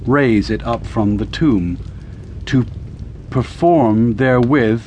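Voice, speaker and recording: An actor playing an educated, uneasy assistant in a radio drama, with formal, literate speech that turns hesitant. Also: male voice, medium pitch, slow, mellow, slight background noise, close to an everyday voice. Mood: uneasy, halting. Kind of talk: radio drama acting and first-person narration.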